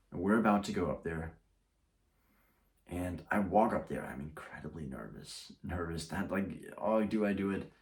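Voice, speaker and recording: A young man speaks casually to camera in a deep, low voice. He rambles, often breaking off and restarting his sentences as he shares his thoughts. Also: a little mellow. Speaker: YouTuber.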